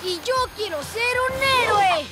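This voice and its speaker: A woman's voice: very high voice, measured pace. A woman voicing an anxious, earnest young boy superhero in a Latin American Spanish cartoon dub. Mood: tentatively brave. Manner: earnest, excitable. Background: loud background music.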